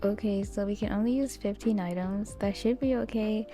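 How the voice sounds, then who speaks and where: A woman's voice: medium pitch, moderate pace, warm tone, faint music behind. A young woman giving casual, chatty commentary over a video game as host.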